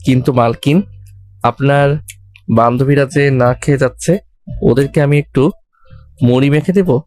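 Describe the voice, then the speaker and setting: Cheeky young servant played by an actor, medium pitch, sarcastic, mock-polite, audio drama, music behind. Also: male voice, steady tone, measured pace, darkish tone, lightly acted. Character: cheeky, teasing young male servant with sly wit. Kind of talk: Bengali audio story acting. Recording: background music under the voice.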